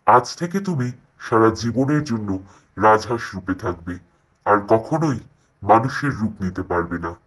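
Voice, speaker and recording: A menacing evil sorcerer, cruel and vengeful. His male voice drops lower as he delivers cartoon fairytale villainy. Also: expressive, a little slow, long pauses, low-quality recording.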